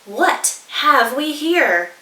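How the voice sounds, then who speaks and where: A woman's voice: high voice, sing-song, very slow, bright, forceful tone, pitched above the performer's own voice, heavily acted. A woman voicing a graceful female emu in a storybook, dainty, fluttery and given to sighing. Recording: roomy echo, distant mic.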